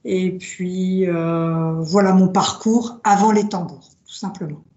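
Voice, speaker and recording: A woman born in France to Italian parents, with Italian as her first language, telling her story slowly with many pauses and fillers. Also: on the low side, fairly even intonation, a little mellow. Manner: hesitant, many fillers, reflective. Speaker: drum maker, guest. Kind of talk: casual interview, personal story. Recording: roomy, dull lo-fi sound.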